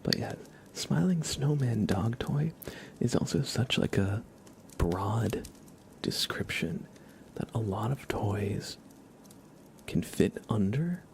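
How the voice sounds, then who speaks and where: A man hosting an ASMR livestream, chatting gently and haltingly to viewers in a soft, whispery murmur. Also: fairly low pitch, expressive, vocal fry. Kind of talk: ASMR, casual chat with stream viewers.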